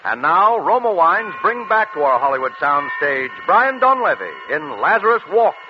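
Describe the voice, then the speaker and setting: A man's voice: fairly high pitch, very animated, normal speed. A man as radio announcer, delivering a dramatic 1940s show introduction. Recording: dull vintage radio recording over music.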